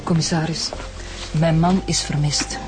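A woman's voice: low-pitched, fairly even intonation, unhurried, over loud music. An actress playing an anxious, insistent middle-aged married woman with a Flemish accent and a formal, firm way of speaking in a radio drama. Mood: worried. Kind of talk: naturalistic radio drama acting.

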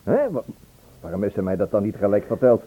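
A man acting in a Dutch radio play as a brisk, blunt police inspector with a conversational delivery. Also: on the low side, sing-song, breathy edge. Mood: exasperated. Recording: thin narrow-band old radio recording.

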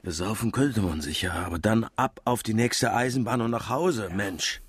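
A man's voice: on the low side, moderate pace, husky, no room sound. An actor in a German radio drama playing an earthy, homesick older soldier and family man, blunt and homely. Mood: longing.

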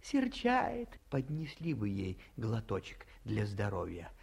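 A man's voice: lowish voice, huge pitch swings, takes it slowly, creaky, a little mellow, slightly rough, heavily acted. An actor playing a tipsy, cheerful village man who chuckles and wheedles. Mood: wheedling. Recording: 1950s studio radio recording.

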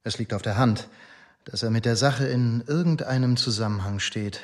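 A man's voice: medium pitch, moderate pace, lightly acted. Man acting a dogged, self-assured police inspector. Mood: insistent, assured. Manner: formal, matter-of-fact, firm. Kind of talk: radio drama acting.

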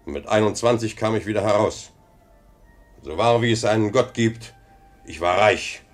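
An actor playing a self-assured, successful older brother in a 1950s German radio drama, speaking in a measured, declamatory way. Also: male voice, on the low side, soft background music, close to an everyday voice. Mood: self-satisfied. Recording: old 1950 radio play recording.

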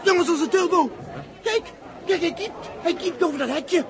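Very high-pitched excitable crewman. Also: moderate pace. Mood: frantic alarm. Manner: exclaiming, excitable. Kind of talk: 1960s radio drama acting. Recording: 1960s radio recording, busy crowd behind.

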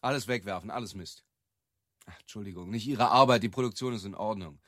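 A man's voice: lowish voice, fairly quick, a bit bright, dry recording, lightly acted. An actor playing an exacting, impatient male advertising boss with a curt, decisive way of talking in naturalistic radio drama. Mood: irritated.

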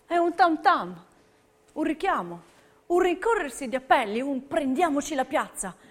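A woman's voice: high-pitched, very animated, normal speed. A woman giving scripted theatrical narration in rhythmic, emphatic, dramatic phrases. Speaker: stage narrator.

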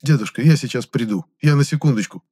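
A man's voice: medium pitch, fast talker, dark tone, slightly rough, voice pushed up, lightly acted. A man voicing an impatient, bossy young lad who questions insistently, as the hero of an audiobook story.

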